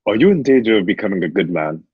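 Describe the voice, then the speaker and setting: A man's voice: fairly low pitch, lively intonation, fast, phone-quality sound, slight background noise, close to an everyday voice. A man playing a noble, steadfast Roman gladiator leader in an amateur radio play. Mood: urgent.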